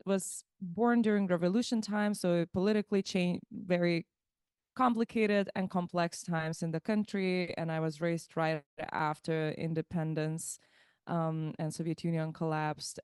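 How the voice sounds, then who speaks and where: A woman's voice: fairly low pitch, a little mellow, smooth, dry recording, clean studio sound. A woman in her thirties from Lithuania, a musician guest chatting about her life, thoughtful and given to restarting words mid-phrase. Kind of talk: casual interview chat.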